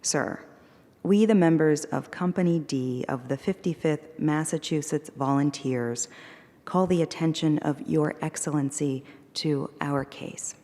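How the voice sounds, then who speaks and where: A woman poet giving a measured, deliberate reading of her poems at a live event. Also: sits low, dark tone, big-room sound. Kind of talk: poetry reading. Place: performing arts center hall.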